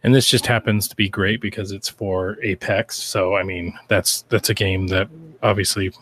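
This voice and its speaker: A man's voice: sits low, steady tone, moderate pace, fairly bright tone. American man hosting a casual podcast. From Idaho, United States. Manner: rambling, informal, peppered with "like". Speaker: podcast host. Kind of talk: casual chat.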